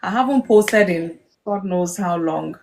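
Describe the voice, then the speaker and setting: A woman's voice: fairly low pitch, unhurried, a crowd in the background, poor audio. A woman with a Nigerian accent hosting a casual live group chat in a playful, coaxing, encouraging way. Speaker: live stream host.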